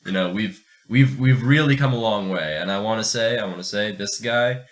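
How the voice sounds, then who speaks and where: A man's voice: on the low side, normal speed. A man co-hosting a podcast with casual, jokey banter, rambling and often tripping back over his words. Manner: rambling, jokey, stammering repetitions. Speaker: podcast co-host.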